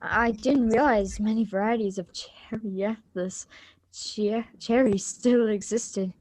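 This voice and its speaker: A woman's voice: medium pitch, steady tone, unhurried, darkish tone, no echo, close to an everyday voice, rough recording. A woman playing a polite, evasive, bookish schoolgirl in a live radio drama reading, with a hesitant, stammering delivery.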